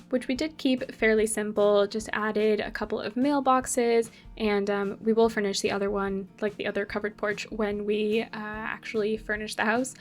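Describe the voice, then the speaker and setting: A young woman from Argentina, casually talking through a game build as channel host, rambling with many fillers and self-corrections. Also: on the high side. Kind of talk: casual build commentary over gameplay.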